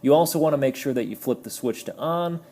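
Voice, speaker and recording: A man's voice: mid-range voice, fast, dark tone, slight background noise. A man giving a casual, explanatory toy review, friendly in tone and sometimes stumbling over his words.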